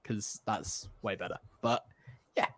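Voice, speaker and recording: A man's voice: lowish voice, fairly even intonation, measured pace, a bit bright. A man co-hosting a podcast, talking in a casual, conversational style.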